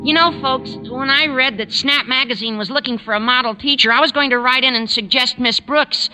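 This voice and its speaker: An actor playing an eager, awkward, lovestruck high school boy with a squeaky adolescent voice in a 1940s radio sitcom. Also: a little fast, pushed and bright, faint music behind, full character voice, rough recording. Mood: eager chatter. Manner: gushing, hesitant, earnest. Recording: old 1940s radio broadcast.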